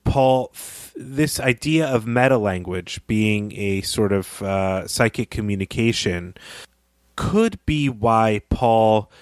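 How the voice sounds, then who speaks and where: A man co-hosting a discussion show, reasoning aloud in a reflective, tentative, conversational way with measured phrasing. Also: lowish voice, no room sound. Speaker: podcast co-host discussing fiction.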